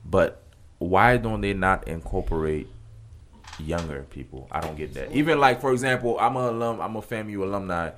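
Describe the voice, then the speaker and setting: Young male co-host, very low voice, loose slangy storyteller. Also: animated, normal speed, fairly bright tone, a little hiss or hum. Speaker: podcast co-host. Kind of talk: casual chat.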